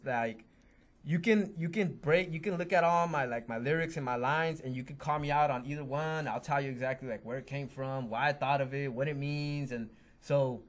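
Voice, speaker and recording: A man of middle pitch whose first language is Spanish talks about his music as a guest rapper. He speaks earnestly and sincerely, and often restarts his sentences. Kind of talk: casual interview chat.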